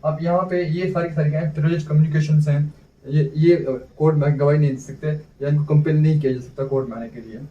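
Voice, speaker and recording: A man's voice: fairly high pitch, steady tone, quick pace. A man with a Pakistani accent teaching exam material informally, explaining by posing questions and answering them himself. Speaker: host and law tutor. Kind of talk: informal exam-prep lecture. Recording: dull, echoey, distant microphone.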